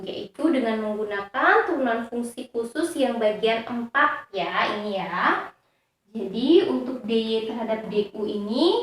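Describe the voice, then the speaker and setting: Echoey, higher-voiced woman teaching step by step. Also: a bit bright. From Indonesia. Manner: didactic, checks in with frequent tag words. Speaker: high school math teacher. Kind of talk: step-by-step lesson explanation. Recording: very echoey, reverberant room.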